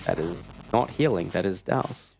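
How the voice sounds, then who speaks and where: A man's voice: sits low, animated, normal speed, vocal fry, a little mellow. Man commentating a game, music behind. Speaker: speedrunner playing and commentating. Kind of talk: live gameplay commentary, casual. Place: gaming marathon event, streamed. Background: video game music.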